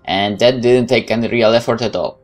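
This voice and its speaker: A man's voice: mid-range voice, steady tone, a little fast. A man doing a let's play, reading a visual novel's narration aloud and adding wry casual commentary, with game music behind him. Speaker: gaming YouTuber.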